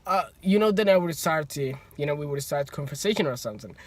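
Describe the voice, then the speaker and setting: A man's voice: a little high, fast talker, no echo. Young Somali-accented man telling stories. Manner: animated, acts out both sides of conversations, laughs often. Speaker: student. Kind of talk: casual storytelling.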